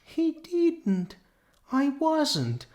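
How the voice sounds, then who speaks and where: A man voicing a flighty young female horse in a storybook, protesting in a shrill, drawn-out way. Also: wildly swooping pitch, a little mellow, exaggerated voice. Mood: defensive, upset. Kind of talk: dramatised storybook reading.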